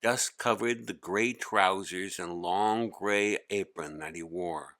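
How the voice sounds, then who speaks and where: Man reading a kids book out loud, slow n expressive, bright voice, storyteller narration. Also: sits low. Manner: expressive read-aloud. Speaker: author narrating own children's story.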